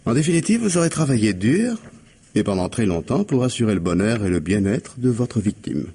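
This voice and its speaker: An actor playing a stern, implacable police investigator in 1950s French radio mystery style, commanding and deliberate, with a husky voice. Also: male voice, mid-range voice, sing-song, mellow. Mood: coldly triumphant. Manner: commanding, deliberate, articulate, dictating orders.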